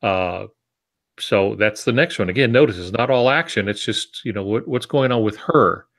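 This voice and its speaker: Older American man, lowish voice. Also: normal speed, a bit bright. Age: 60s. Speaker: writing teacher, podcast co-host. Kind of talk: informal teaching talk with asides. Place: home office indoors. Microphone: wired earbuds on a video call.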